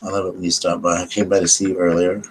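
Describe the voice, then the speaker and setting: Deep, low-voiced man in soft chat. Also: flat delivery, husky. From South Carolina. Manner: talks low and slow, many ums, greets viewers tenderly. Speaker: host of a late-night live chat stream. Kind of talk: soft late-night ASMR chat with viewers.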